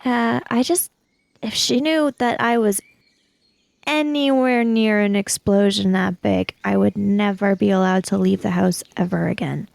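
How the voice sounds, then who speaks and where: A woman roleplaying an anxious, questioning kid in a 1980s sci-fi mystery tabletop game, rambling through questions in a creaky voice. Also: medium pitch, fairly even intonation, measured pace, darkish tone, no echo, voice pushed down. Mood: worried. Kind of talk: improvised in-character tabletop roleplay.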